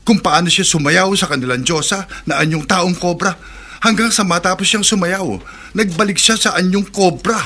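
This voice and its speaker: A man's voice: sits high, moderate pace, bright, forceful tone, exaggerated voice. An actor with a Filipino accent playing an earnest, alarmed young man in a horror radio drama, speaking urgently and insistently.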